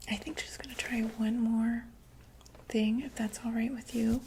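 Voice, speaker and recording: A woman whispers in a breathy, unhurried voice, set a little high. She plays a doctor in an ASMR roleplay, gently reassuring and a touch hesitant. She is close to the microphone, with faint noise behind her. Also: little pitch movement.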